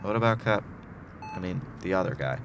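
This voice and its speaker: A man's voice: low-pitched, animated, fairly quick, noisy background. A man acting in an audio drama as a wronged, resolute man who outwits his tormentor in a time loop. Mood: subdued. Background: loud music bed.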